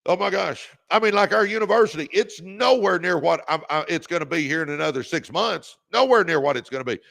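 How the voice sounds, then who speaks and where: A man in his fifties hosting a live-stream podcast, delivering an energetic, repetitive pep talk in a booming voice. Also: fairly quick, creaky. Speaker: podcast host.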